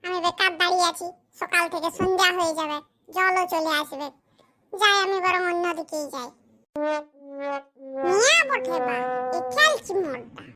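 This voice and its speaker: A performer voicing a crude, self-pitying village boy who grumbles in rustic slang in a comedy cartoon, with a pitched-up voice. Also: expressive, normal speed, long pauses, over music. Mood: irritated. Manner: muttering complaints in rustic slang.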